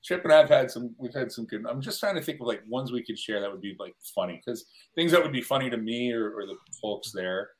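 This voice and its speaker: A man's voice: medium pitch, lively intonation, brisk, few pauses. Middle-aged man telling wry stories. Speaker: guest sports broadcaster. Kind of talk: casual chat, storytelling.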